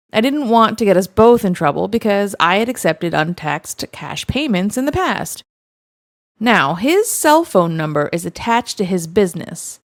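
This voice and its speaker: A woman's voice: medium pitch, no room sound, clean studio sound. A woman narrating first-person stories in a wry, matter-of-fact way. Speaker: narrator reading online stories.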